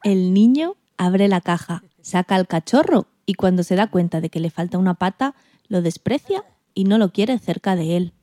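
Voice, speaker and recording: Warm-toned Spanish woman co-hosting radio. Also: fairly low pitch, expressive, moderate pace, no echo. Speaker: radio co-host. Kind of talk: scripted narration read aloud.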